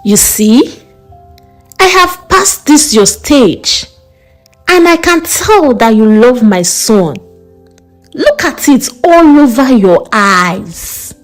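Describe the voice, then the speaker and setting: A warm mother from a folktale, put on by a woman narrator. She is reassuring and frank, coaxing in a high, very animated voice. She speaks slowly over faint music. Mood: affectionate, coaxing. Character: warm, reassuring, direct middle-aged Nigerian mother.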